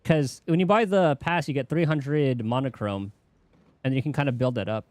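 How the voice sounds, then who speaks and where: A man's voice: medium pitch, normal speed, darkish tone, dry recording. A man giving casual, rambling gameplay commentary as a streamer, in an offhand way. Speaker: game streamer.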